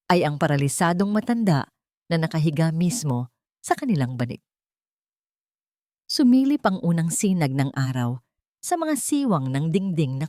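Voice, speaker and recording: A woman's voice: fairly low pitch, a little mellow, no echo, studio quality. A woman giving dramatic scripted narration as a storyteller.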